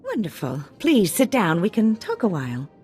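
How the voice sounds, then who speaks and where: A woman's voice: medium pitch, huge pitch swings, moderate pace, darkish tone, soft background music. A woman playing a polite but guarded wife in a video game. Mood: courteous. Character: polite, wary wife of a crime supplier. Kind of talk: video game dialogue acting.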